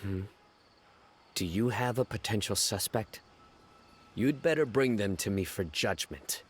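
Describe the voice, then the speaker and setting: A man voicing a stern young enforcer in a game, speaking in a curt, flat, authoritative way. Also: lowish voice, a little slow, slightly rough. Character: stern young male judge-enforcer, cold and relentless. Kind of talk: game voice acting.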